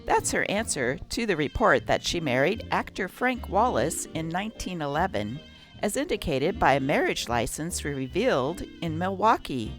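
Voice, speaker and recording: A woman's voice: low voice, sing-song, moderate pace, faint music behind. A woman narrating from a script as host, reading an old newspaper aloud.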